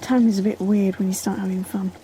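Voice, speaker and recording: Woman playing cautious young student, mid-pitched. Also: little pitch movement, a little fast, mellow, soft background music, close to an everyday voice. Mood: concerned. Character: cautious, caring young female college friend. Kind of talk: audio drama acting.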